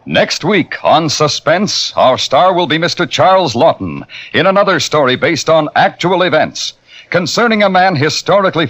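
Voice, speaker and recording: A male announcer giving a formal, measured broadcast announcement of credits and next week's program. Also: fairly high pitch. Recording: lo-fi old radio with loud music.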